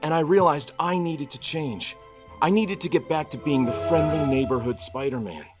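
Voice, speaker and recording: A man's voice: a little high, normal speed, over music, natural voice. An actor voicing a young male superhero, remorseful and earnest, narrating his past in a confessional monologue with dry quips. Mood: earnest, determined. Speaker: actor in a script table read. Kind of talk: dramatic first-person monologue.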